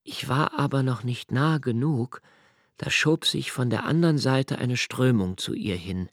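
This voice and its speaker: A slow, brooding young diarist. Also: male voice, medium pitch, dry recording, studio quality. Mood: reminiscing. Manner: slow, measured, hushed recitation. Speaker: first-person narrator. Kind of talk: literary radio play narration.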